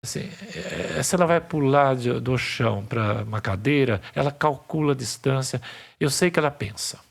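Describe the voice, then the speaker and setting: A man's voice: medium pitch, normal speed, vocal fry. A man, a psychiatry professor, patiently explaining ideas as a podcast guest, often restarting his phrases. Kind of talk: podcast interview.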